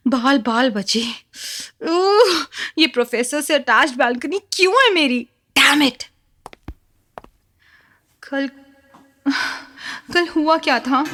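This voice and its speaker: A woman's voice: sits high, fairly bright tone, heavily acted. A woman playing an agitated, defiant young college student in an audio drama, with an Indian accent and a dramatic, emphatic delivery. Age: young adult. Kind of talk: audio drama acting, mixing Hindi and English.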